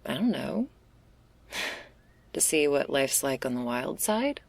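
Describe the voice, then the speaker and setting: A woman playing a laid-back, restless stoner werewolf in an intimate ASMR roleplay, husky and gravelly, confiding in a casual, hesitant, slangy way. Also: low voice, big pitch swings, takes it slowly, no echo, full character voice. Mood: coaxing, flirty.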